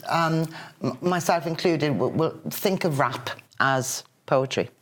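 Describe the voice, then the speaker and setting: A woman's voice: low-pitched, slow. A woman co-presenting a poetry show, who stumbles and repeats words as she asks questions. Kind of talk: casual chat.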